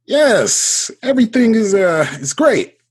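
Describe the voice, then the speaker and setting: A man acting in an audio drama as a nervous, smitten businessman, with a hesitant, self-doubting delivery and a husky voice. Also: high-pitched, very animated, slow, full character voice. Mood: nervous, eager. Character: nervous, smitten businessman talking himself into phoning a married woman.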